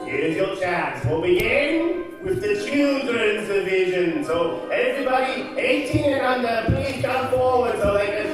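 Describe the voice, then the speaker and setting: Male live contest host, very high-pitched, slow and jovial, with music and crowd behind him. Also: lively intonation. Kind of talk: live stage emceeing, bantering with audience. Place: community hall stage, live audience. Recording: echoey hall from far off, music and crowd. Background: music, audience noise.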